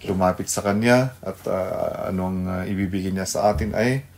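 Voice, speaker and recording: A male Filipino pastor hosting a devotional radio program, speaking haltingly and searching for words. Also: low voice, fairly even intonation, darkish tone, a little hiss or hum. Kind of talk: devotional talk mixing Tagalog and English.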